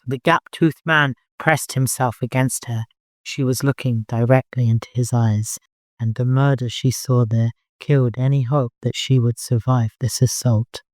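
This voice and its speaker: A man narrating fiction as an audiobook, in an expressive, dramatic storytelling manner. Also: mid-range voice, normal speed, no room sound, studio quality.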